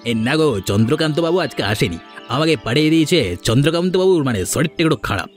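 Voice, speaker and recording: A man's voice: a little high, animated, racing, close to an everyday voice. A man voicing a shifty henchman in a cartoon who feigns helpfulness, hesitant and fumbling as he talks. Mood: evasive. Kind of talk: Bengali fairy-tale cartoon dubbing. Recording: music bed under the voice.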